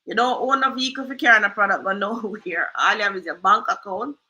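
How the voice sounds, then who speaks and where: A woman's voice: mid-range voice, fast, bright, forceful tone, highs cut off. A Jamaican woman hosting a casual screen-share tutorial, chatty and loose, often asking "right?". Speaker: host.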